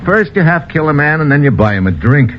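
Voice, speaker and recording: An actor playing a wry, tough American secret agent in an old radio thriller, with a sardonic, hard-boiled manner. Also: male voice, fairly high pitch, big pitch swings, fairly quick, slight background noise. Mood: wry, annoyed. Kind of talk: old-time radio thriller acting and narration. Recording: muffled old radio broadcast, loud music.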